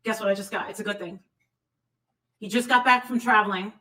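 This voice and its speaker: A woman's voice: medium pitch, fairly even intonation, fairly quick, sharp tone, slight background noise. A woman hosting a casual livestream chat, gossipy and blunt, with frequent profanity, recorded on a distant mic.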